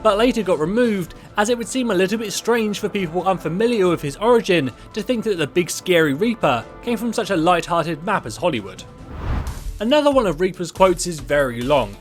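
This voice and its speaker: Lively high-voiced male narrator. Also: brisk, faint music behind. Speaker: host and narrator. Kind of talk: scripted video narration.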